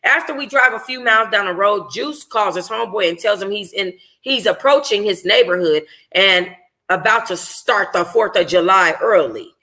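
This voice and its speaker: A woman reading a first-person novel aloud in an expressive, informal way. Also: medium pitch, normal speed. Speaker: audiobook reader, book club host.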